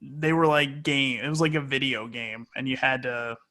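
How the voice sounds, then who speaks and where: A man's voice: medium pitch, level tone, moderate pace, sharp tone. A man speaking as an announcer being interviewed, answering casually and hesitantly as he thinks aloud. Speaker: sports play-by-play announcer, interview guest.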